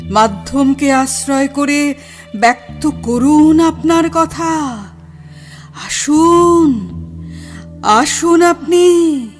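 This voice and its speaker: An actress playing a dignified, solemn spirit medium who speaks deliberately in an audio drama. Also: female voice, high-pitched. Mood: solemn summoning. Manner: slow, deliberate, incantatory. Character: solemn, dignified female spirit medium, older aunt figure.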